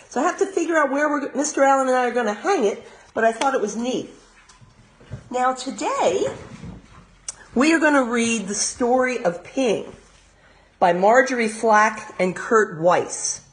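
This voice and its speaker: An American woman reading a storybook aloud as a teacher of young children, expressive and pausing to explain in simple asides. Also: fairly high pitch.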